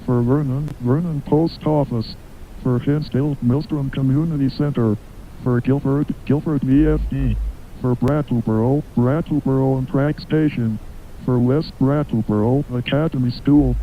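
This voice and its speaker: A male emergency announcer reads out a list in a clipped, impersonal way. His mid-pitched voice comes through dull, lo-fi audio with a faint rumble. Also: steady tone, moderate pace, gravelly. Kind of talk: scripted list reading.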